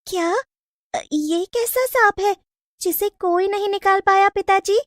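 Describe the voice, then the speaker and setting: A woman's voice: very high voice, a little slow, pitched above the performer's own voice. A woman voicing a timid, earnest young village girl in a plaintive, childlike manner for a storybook cartoon. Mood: worried. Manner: plaintive, wide-eyed, childlike.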